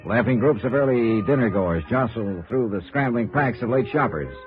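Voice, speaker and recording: An actor playing a tough, wry insurance investigator in 1950s radio noir, clipped and dry. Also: male voice, mid-range voice, lively intonation, moderate pace, lightly acted. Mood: hard-boiled narration. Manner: clipped, hard-boiled, dry. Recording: dull vintage radio recording, faint music.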